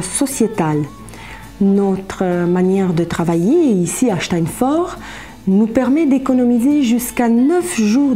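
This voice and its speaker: A woman with a dark, relaxed voice who speaks slowly. She sets out her points formally, one deliberate phrase at a time, like a spokesperson in a corporate film, with music underneath. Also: mid-range voice. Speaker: hospital quality spokesperson. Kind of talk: corporate presentation interview. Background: background music.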